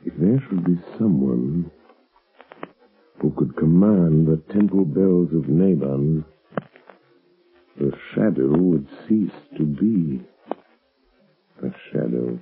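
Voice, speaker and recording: An actor playing a suave, mysterious crime-fighter with a measured, portentous delivery in a 1930s radio drama. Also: male voice, a bass voice, lively intonation, breathy edge, faint music behind, full character voice. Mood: grave, mysterious. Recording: muffled tinny 1930s radio broadcast recording.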